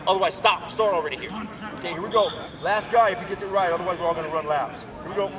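A man's voice: high-pitched, racing, crowd noise. A man coaching young football players outdoors with short, encouraging commands, heard from a distance. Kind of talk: coaching drills on a field. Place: outdoor football field at a camp.

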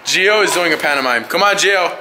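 A man's voice: a little high, animated, fairly quick. Young male vlogger, casual and cheeky, swears freely, handheld camera with noisy surroundings. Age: young adult. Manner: playful, slangy, swearing. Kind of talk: casual vlog chat. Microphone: handheld camera at arm's length. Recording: noisy handheld recording, music behind.

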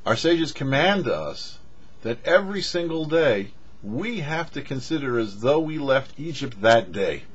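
A middle-aged man giving a scripted religious teaching to camera as a rabbi, deliberate and preacherly with emphatic pauses. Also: mid-range voice, sing-song, husky. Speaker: rabbi, show host. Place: small indoor studio with cloth backdrop. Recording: dull, muffled lo-fi audio.

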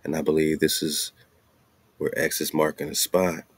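Very deep-voiced man, casual and slangy. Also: flat delivery, normal speed, husky. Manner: informal, slangy asides. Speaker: radio show host. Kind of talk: casual commentary mixed with reading aloud.

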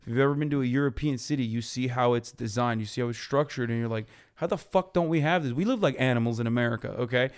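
A man in his thirties, from Turkey, talks politics with a blunt and profane edge. His voice is smooth and clear. He speaks at a middling pitch into a boom mic in a podcast studio. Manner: blunt, profane, rapid explaining. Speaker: podcast guest. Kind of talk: heated political chat. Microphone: boom mic on stand.

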